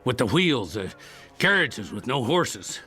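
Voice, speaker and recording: Gruff cowboy, gravelly, forceful, rustic halting speech, distrustful, radio drama. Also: male voice, mid-range voice, sing-song, soft background music, full character voice. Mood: weary, bewildered. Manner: halting, plain-spoken, rustic. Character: bewildered, weary old-west cowboy, gruff and distrustful.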